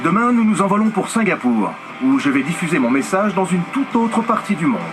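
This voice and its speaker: A man's voice: sits high, sing-song, a little fast. A middle-aged man dubbing a documentary subject in an earnest, heartfelt voice-over. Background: music.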